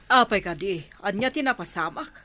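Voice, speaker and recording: A woman acting in an Ilocano radio drama as a sharp-tongued, money-hungry village wife, feisty and full of complaint. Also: medium pitch, lively intonation, brisk. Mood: worried.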